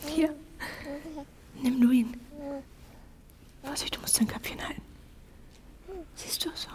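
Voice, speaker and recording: A woman playing an emotional young German mother in a radio drama, speaking in a breathy, intimate way. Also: high voice, slow pace, in a whisper. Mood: tender, hushed. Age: young adult. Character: young German woman, daughter, prisoner and tender new mother.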